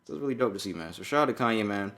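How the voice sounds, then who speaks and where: A man's voice: fairly low pitch, expressive, rapid-fire, fairly bright tone. A young adult man from Jamaica, raised in New York, co-hosting a podcast in loose, profane casual chat. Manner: loose and profane, riffing on a viral song. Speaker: podcast co-host.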